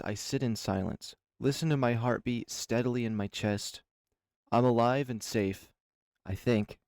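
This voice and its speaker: Man slowly reading a dreamy story. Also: mid-range voice, darkish tone, dry recording, studio quality. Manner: slow, measured, dreamy narration. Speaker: channel host reading a visual novel. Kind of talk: dramatic reading of game text.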